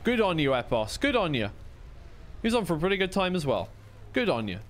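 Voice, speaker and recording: A man's voice: sits high, sing-song, brisk. A man giving casual, unscripted live play-by-play and analysis as an esports commentator on a stream.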